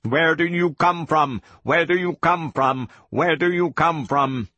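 A man's voice: on the high side, a little slow, pushed and bright, no echo, pitched above the performer's own voice. A man voicing a cold alien mind that questions and commands insistently.

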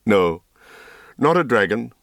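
A man voicing a grave, calm young wizard in a storybook, speaking quietly and gently. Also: lowish voice, slow pace, vocal fry, no room sound, exaggerated voice. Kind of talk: audiobook character reading.

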